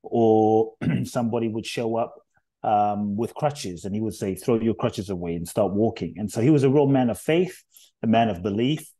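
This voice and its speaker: A middle-aged man raised in Ghana and London, a guest speaker telling his life story in an earnest, measured way that builds emphasis on key phrases. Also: lowish voice, mellow. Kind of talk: reflective storytelling interview.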